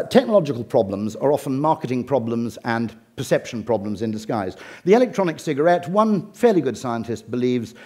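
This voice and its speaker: A man's voice: medium pitch, mellow. A middle-aged English man giving a humorous talk, with dry wit and ironic asides. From England. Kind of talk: humorous lecture.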